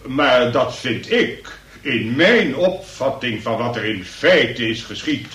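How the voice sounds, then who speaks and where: A man's voice: mid-range voice, lively intonation, takes it slowly, fairly bright tone. A man acting a pompous, long-winded examining magistrate in a comic radio play, speaking in ornate legal phrases. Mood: self-assured. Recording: noisy, echoey room, distant from mic.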